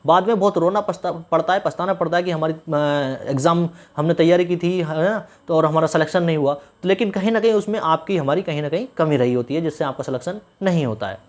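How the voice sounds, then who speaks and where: A man talks at a quick clip, like a YouTuber briefing exam candidates. He explains things informally and gives instructive advice, often restating a phrase to drive it home. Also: a little high. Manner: instructive, repeats phrases for emphasis.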